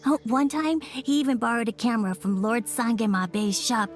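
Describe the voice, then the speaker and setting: A woman playing an earnest, gentle young daughter in a video game, speaking in a childlike, hesitant and sincere way. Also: on the high side, steady tone, moderate pace, soft background music, natural voice. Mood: nostalgic, earnest.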